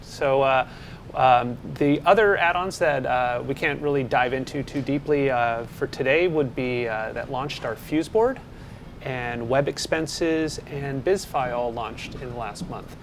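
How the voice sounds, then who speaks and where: A man co-presenting a developer show, chatting in a relaxed way about software partners. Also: medium pitch, measured pace, a little hiss or hum. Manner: relaxed, chatty with filler words. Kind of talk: casual chat. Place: studio set.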